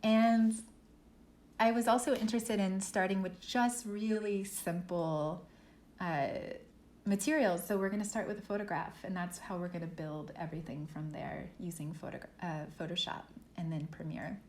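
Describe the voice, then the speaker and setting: A woman instructor leading an art workshop, walking students through software step by step in a relaxed, thinking-aloud way. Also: lowish voice, moderate pace. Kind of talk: software tutorial lesson. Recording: echoey room with faint noise.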